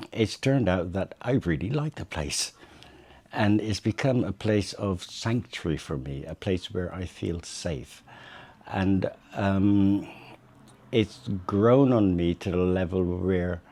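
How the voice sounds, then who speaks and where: A man with a very deep, mellow voice, speaking slowly and gently. He reflects in a measured way, listing one image after another. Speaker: local resident. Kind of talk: reflective personal account.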